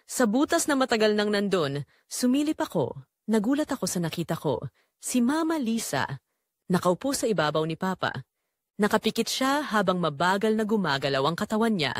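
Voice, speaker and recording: A woman's voice: medium pitch, dry recording, studio quality. A woman delivering a scripted first-person dramatic story as its narrator.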